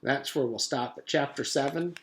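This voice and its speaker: A man's voice: mid-range voice, moderate pace, a bit bright. Man narrating a children's storybook. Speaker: teacher reading aloud to pupils.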